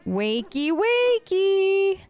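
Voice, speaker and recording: A woman with a high voice provides casual let's play commentary. Her intonation swings freely, and she is playful and chatty, slipping into role-play with a mock-whiny touch. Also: sing-song, very slow, a little mellow. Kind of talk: casual playful gameplay commentary, speaking in character.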